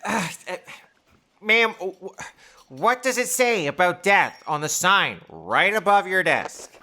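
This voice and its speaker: Nervous low-voiced stammering assistant. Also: female voice, very animated, takes it slowly, sharp tone, full character voice. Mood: nervous, deferential. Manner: stammering, deferential. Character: nervous, rule-bound assistant to a muddled boss.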